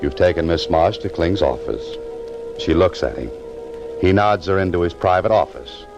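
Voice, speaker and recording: An actor playing a wry, tough-talking sleuth in a 1940s radio drama, clipped and sardonic, on a muffled old recording. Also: male voice, low voice, expressive, normal speed, gravelly, music in the background. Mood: brooding narration. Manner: clipped, sardonic, hard-boiled. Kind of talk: 1940s radio detective acting and narration.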